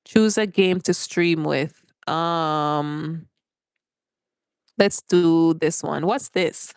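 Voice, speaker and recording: A woman's voice: sits low, drawn-out words, clean tone, no echo, clean studio sound. Chatty Jamaican woman on a live stream. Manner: chatty, greets viewers by name and reads comments aloud. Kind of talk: casual live-stream chat. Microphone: phone held for a vertical live stream.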